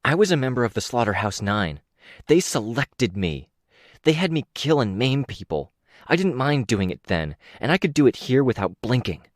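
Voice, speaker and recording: A man's voice: medium pitch, very animated, moderate pace, no echo, clean studio sound, pitched above the performer's own voice, close to an everyday voice. A man voicing a hard, threatening young woman in an audiobook. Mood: menacing. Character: cold menacing young woman, former killer.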